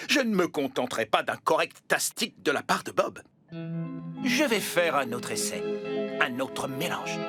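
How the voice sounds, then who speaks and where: An actress voicing an excitable, perfectionist young inventor of milkshakes in a children's cartoon, dramatic in how she announces each try. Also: medium pitch. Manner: dramatic, perfectionist, slow and savoring. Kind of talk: cartoon dubbing.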